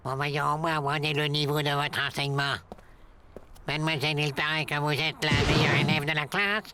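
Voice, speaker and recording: A man's voice: on the high side, fairly even intonation, brisk, pushed and bright, soft background music. An actor playing a pompous, stern male school inspector in a French children's cartoon, with an officious manner.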